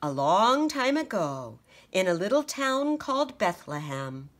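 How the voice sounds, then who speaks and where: A woman reading the lines of a man who tells the Christmas story, in a calm storytelling manner. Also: mid-range voice, unhurried.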